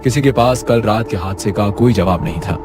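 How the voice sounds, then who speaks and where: A man with a low voice narrates a scripted horror story. Music plays clearly behind his storytelling. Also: fairly quick.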